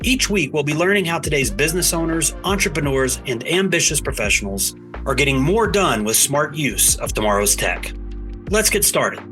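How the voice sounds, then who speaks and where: A man's voice: a little high, animated, moderate pace, pushed and bright, soft background music. A man hosting a podcast, reading an upbeat intro and then chatting with a guest, often affirming with short yeahs. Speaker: podcast host. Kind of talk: scripted intro, then casual interview chat.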